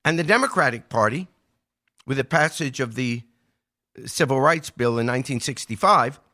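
A man's voice: mid-range voice, normal speed, sharp tone. An older American man giving conversational political commentary, explaining step by step with emphasis and rhetorical questions.

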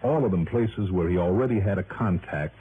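An actor playing a sharp, wry insurance investigator in a vintage radio detective drama, clipped and businesslike. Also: male voice, deep voice, brisk, natural voice. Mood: eager, decisive. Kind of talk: 1950s-60s radio detective drama acting. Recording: noisy, tinny vintage radio recording.

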